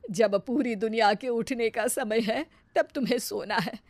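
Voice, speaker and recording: A woman storyteller plays a middle-aged mother-in-law who disapproves. The voice is high and close, reproachful throughout. It suits a moral family storybook. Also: unhurried, darkish tone, no room sound. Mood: disapproving.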